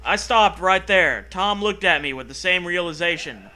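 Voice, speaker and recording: Sarcastic, high-pitched, very bright-voiced man. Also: lively intonation, normal speed, a little hiss or hum. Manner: sarcastic, profane, exclamatory. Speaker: comedy show host reading a story aloud. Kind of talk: mocking read-aloud with casual riffing.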